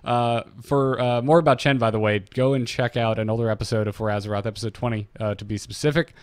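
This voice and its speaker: A man's voice: medium pitch, steady tone, fast, clean tone, dry recording. A man co-hosting a podcast, recapping game lore from notes in a casual, bantering way with jokey asides. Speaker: podcast co-host.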